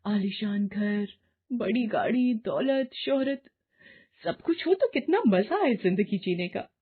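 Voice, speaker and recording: A woman voicing a greedy, boastful young housewife in a moral tale, excitable and insistent, in a muffled recording. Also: medium pitch, slow pace, breathy edge. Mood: dreamy longing.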